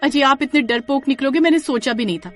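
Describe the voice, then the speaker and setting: A woman's voice: high voice, fast, a bit bright, faint music behind, voice pushed up. A woman voicing a plucky, sensible village wife in a horror story, with a pleading, chiding manner. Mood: nagging.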